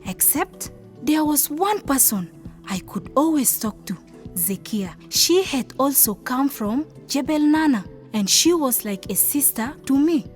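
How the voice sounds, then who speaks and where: An actress playing a timid, dreamy young village wife from South Sudan in a radio drama, earnest in manner. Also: female voice, high voice, animated, measured pace, faint music behind. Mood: wistful storytelling. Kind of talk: radio drama narration and acted dialogue.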